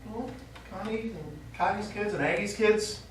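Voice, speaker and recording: A man's voice: sits high, moderate pace, lightly acted. An older man playing a stubborn, forgetful elderly widowed father in a live stage play, wistful and contrary as he rambles. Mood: reminiscing, touchy. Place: small indoor theatre stage, recorded from afar. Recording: very echoey theatre, distant mic, faint noise.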